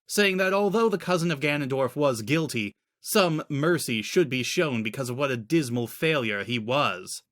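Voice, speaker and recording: A man's voice: mid-range voice, a bit bright. A man narrating a story in the style of a dramatic audiobook reading.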